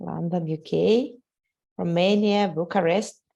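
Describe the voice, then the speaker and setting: Woman, Ukrainian accent, webinar host, lowish voice, gravelly fry, halting speech with fillers, video call mic. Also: fairly even intonation, mellow, lo-fi sound. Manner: halting, many "uh" fillers, non-native grammar. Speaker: webinar host, sales executive. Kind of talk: live webinar presentation.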